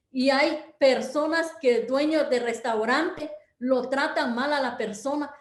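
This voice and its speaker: High-voiced Guatemalan woman, rallying. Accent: Guatemalan Spanish. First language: K'iche'. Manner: earnest, rallying, calls for courage with religious blessings. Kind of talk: impassioned address to an online audience. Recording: roomy echo.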